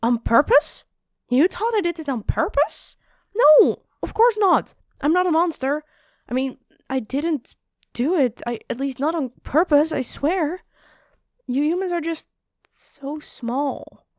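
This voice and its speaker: A kind giant woman, as a voice actress plays her in a fantasy ASMR roleplay. Her voice sits fairly high and is recorded dry, without room sound. She talks courteously and earnestly, with an apologetic, slightly hesitant manner. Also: lively intonation, slow, a little mellow, no room sound. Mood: hurt, protesting.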